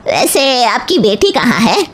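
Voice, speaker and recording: Female narrator as conservative storybook mother-in-law: demanding, deliberate, higher register. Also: very animated. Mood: probing. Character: conservative, demanding middle-aged mother of the groom.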